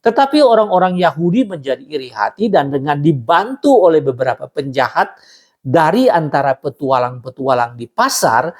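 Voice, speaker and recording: A man's voice: a little high, very animated, moderate pace. A man preaches an expository Bible sermon, addressing listeners directly and restating his phrases for emphasis. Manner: repeats and rephrases phrases for emphasis.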